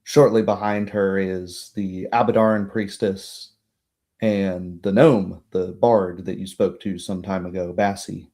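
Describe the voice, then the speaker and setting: A man narrating an improvised tabletop game as game master, in a halting, hesitant way. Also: sits low, steady tone, mellow.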